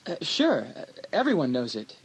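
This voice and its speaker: A man's voice: a little high, wildly swooping pitch, normal speed, breathy edge, heavily acted, low-quality recording. A man playing a friendly, slightly awkward and curious small-town teenage boy in a dramatised audiobook, hesitant but eager.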